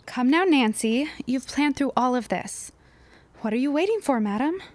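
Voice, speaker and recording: Actress as a high-voiced plucky teenage girl. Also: expressive, moderate pace, darkish tone. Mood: self-coaxing. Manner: mannered, self-coaxing. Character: plucky, scheming, nervous teenage girl of 1916. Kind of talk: period audio drama acting.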